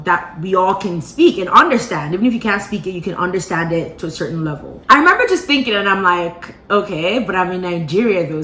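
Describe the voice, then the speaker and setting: A woman vlogger casually telling a gossipy story to her viewers, animated and full of playful asides. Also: fairly low pitch, a little hiss or hum. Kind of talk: casual gossip chat to camera. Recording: roomy echo.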